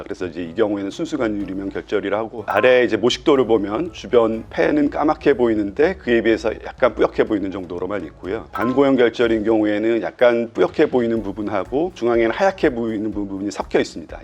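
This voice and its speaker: A man, a pulmonology professor, explaining lung findings in a calm, methodical way, pausing now and then to think. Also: lowish voice, muffled recording. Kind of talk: explanatory medical lecture.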